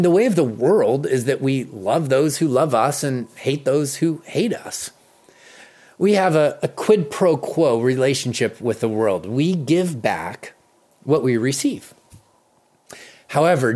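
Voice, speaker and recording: A man with an American accent speaking as a pastor, reading a devotional in an earnest, deliberate way with pauses for emphasis. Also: mid-range voice, a little mellow. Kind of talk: read devotional with earnest teaching and prayer.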